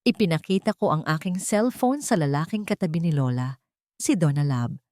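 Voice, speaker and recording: A woman's voice: low-pitched, animated, normal speed, dark tone, no echo, studio quality. A woman narrating a scripted audio drama in an earnest, dramatic storytelling manner.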